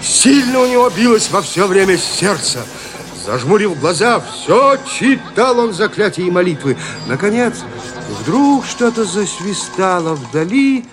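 A male storyteller of gothic horror in an audio play. His voice sits fairly high and moves expressively through the sentences, theatrical and full of suspense. Soft music plays behind him. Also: sing-song, unhurried. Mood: suspenseful. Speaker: narrator. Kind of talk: dramatic scripted narration of a gothic horror tale.

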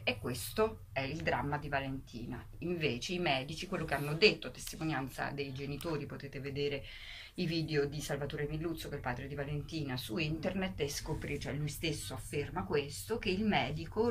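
A woman radio guest giving an explanation in interview style, halting at times and emphatic on key words. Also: sits low, fairly bright tone. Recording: faint background noise.

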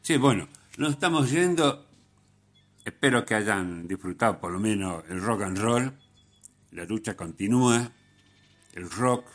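A man with an Argentine accent hosting a radio show, giving casual music commentary in a rambling, halting way with repeated words and tag questions. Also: fairly low pitch. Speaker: radio host.

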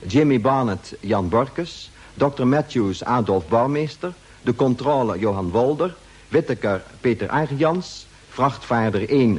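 A man with a husky voice reading closing credits as a formal vintage radio announcer. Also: mid-range voice, darkish tone.

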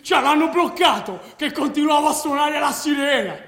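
An actor in a radio drama playing an excited football hooligan, gleefully and loudly recounting mayhem. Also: male voice, extremely high pitch, normal speed, gravelly, heavily acted. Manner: excited, loud, repetitive. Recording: echoey room.

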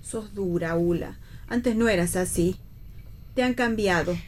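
Radio play mother, played by a woman: middle-aged, Argentine, conservative, sharp-tongued, mid-pitched. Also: a little slow, natural voice. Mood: reproachful. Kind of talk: radio theatre reading.

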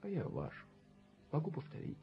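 An actor playing a prim, easily offended snob in a radio play, pompous and moralizing in an affected way. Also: male voice, fairly quick, natural voice. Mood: huffy. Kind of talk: Soviet radio play acting. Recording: muffled 1970s radio drama recording.

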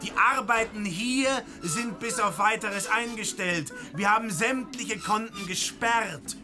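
An actor playing a prim, officious bank official with formal manners in a radio play. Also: male voice, high voice, takes it slowly. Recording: music behind the voice.

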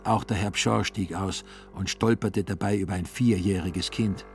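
Guy narrating a radio play, lowish voice, storytelling, bit of music behind. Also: fairly even intonation, moderate pace.

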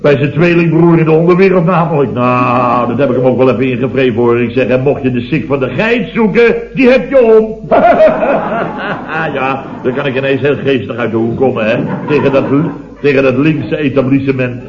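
An actor with a Dutch accent playing a grumbling, boastful building-firm boss who spins indignant, drawn-out yarns in a radio comedy. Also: male voice, mid-range voice, a crowd in the background, lightly acted. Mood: mocking. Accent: Dutch, from the Netherlands. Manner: indignant, drawn-out storytelling with repeated tag words. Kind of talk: radio comedy acting, anecdotal monologue. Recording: dull, roomy vintage radio recording.

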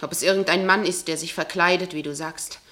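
A woman's voice: on the low side, fairly even intonation, a little fast, a bit bright, natural voice. Grave, fearful woman played by an actress. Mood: troubled. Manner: grave, intense. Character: fearful, grave local woman foretelling doom. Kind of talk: radio play acting.

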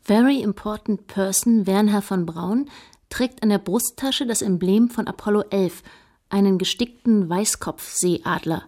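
A woman's voice: medium pitch, a little slow, dark tone. A woman reading scripted, documentary-like narration in a radio play, matter-of-fact with a wry edge.

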